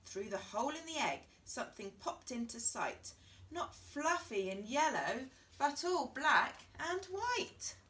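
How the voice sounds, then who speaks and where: A woman reading a rhyming children's bedtime story in an expressive narrating style. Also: on the high side, far from the mic.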